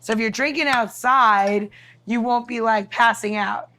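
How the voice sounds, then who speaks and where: A woman with a fairly high voice co-hosts a casual podcast. She talks in an informal, upbeat way, as if walking friends through something easy, sprinkling in conversational filler. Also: fairly even intonation, unhurried, sharp tone. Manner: informal, upbeat, peppered with like and you know. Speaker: podcast co-host presenting cocktail recipes. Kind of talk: casual chatty recipe walkthrough.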